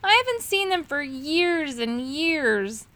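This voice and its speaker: A woman voicing a small, touchy male dragon who squeaks out his words. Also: very animated, slow pace, pushed and bright, heavily acted. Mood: sad. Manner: squeaky and indignant.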